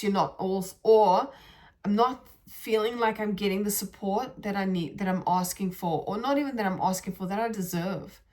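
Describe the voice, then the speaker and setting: Woman musing, halting and searching. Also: mid-range voice, darkish tone. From South Africa. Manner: meandering, searching for words, frequent restarts. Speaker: podcast host. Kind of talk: reflective spiritual monologue.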